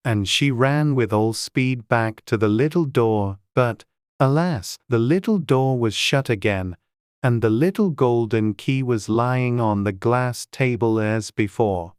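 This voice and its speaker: A woman narrating a storybook as an audiobook, with a measured storytelling delivery. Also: extremely low pitch, darkish tone, clean tone, no room sound, studio quality.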